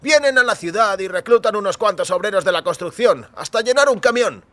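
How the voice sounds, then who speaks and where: Man acting a high-pitched, emphatic taxi driver. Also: expressive, a little fast, a bit bright, dry recording. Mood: wary, emphatic. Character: chatty small-town male taxi driver, wary but helpful. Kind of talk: audio fiction dialogue in Spanish.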